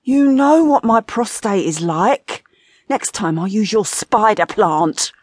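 A woman's voice: medium pitch, lively intonation, slow pace, darkish tone, breathy edge, no room sound. A woman voicing a grumpy old husband who teases his wife in a dry, mocking way in an audiobook story. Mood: grumbling, mocking.

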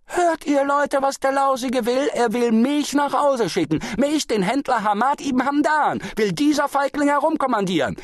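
A man's voice: very high-pitched, expressive, normal speed, hardly any pauses, no echo, clean studio sound, voice pushed up. A man voicing a boastful, bullying merchant in a told story, bellowing with pompous indignation. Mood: boastful, outraged.

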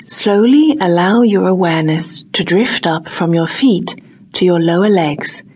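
A woman narrating a scripted guided relaxation exercise in a gentle, unhurried manner, leaving long pauses between short phrases. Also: lowish voice, breathy edge. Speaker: audiobook narrator, meditation guide.

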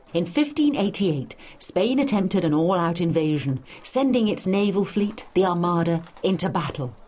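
A woman's voice: on the low side, darkish tone. A woman giving a scripted educational narration for a study guide, in an emphatic storytelling manner.

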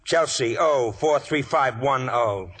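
A man's voice: mid-range voice, slow, a bit bright, slight background noise. An actor playing a nervous, shifty small-time tough guy in 1940s radio style, whining and protesting in slangy street talk. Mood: whining, rattled.

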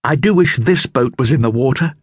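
Guy doing an eager little boy for an audiobook, high pitched, practical and curious. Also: expressive, fairly quick, husky, no room sound, clean studio sound. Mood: wistful, eager. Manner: eager, observant.

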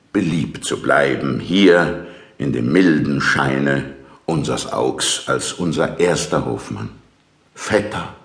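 An actor playing a pompous middle-aged king in a classical audio drama, declaiming smoothly and deliberately. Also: rumbling low, roomy sound, full character voice.

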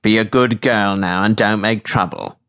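A man playing a stern male marine corporal in an audiobook, with gruff, terse delivery. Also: on the low side, moderate pace, a bit bright. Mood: commanding, threatening. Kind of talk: audiobook character voice.

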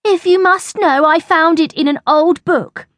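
A woman's voice: extremely high pitch, unhurried, fairly bright tone, no echo, studio quality, voice pushed up. A woman voicing a weedy, sly, cowardly schoolboy with a thin voice in a children's story. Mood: sly, defensive.

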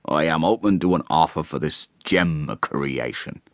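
A man's voice: a bass voice, normal speed, dry recording, studio quality. A man voicing a drunken, brash rustic hay trusser who blusters and boasts. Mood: blustering. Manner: blustering and boastful, shouting at times.

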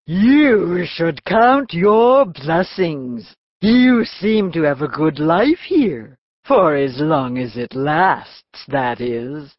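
A woman's voice: sits low, expressive, very slow, no room sound, highs cut off, full character voice. A woman playing a snooty, irritable milk cow in a children's audiobook, pompous and condescending, drawling her words. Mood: haughty. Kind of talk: full-cast children's audiobook acting.

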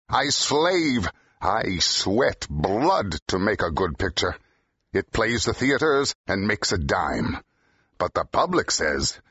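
An actor playing a brash, driven film director in an audiobook drama, with a growling, forceful, emphatic delivery. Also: male voice, husky, no echo, dull audio, heavily acted. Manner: forceful, emphatic, fast-tongued pitchman. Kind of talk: full-cast audiobook acting, period 1930s dialogue.

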